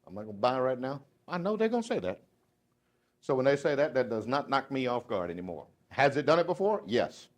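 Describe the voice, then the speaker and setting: A Southern-accented man in his fifties talks to a sales team in a folksy, joking way. His voice sits in an ordinary middle pitch, and he drops from loud asides to near-hushed emphasis. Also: very animated, fairly quick. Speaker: motivational sales speaker. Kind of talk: live speech to a sales audience. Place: dealership meeting room.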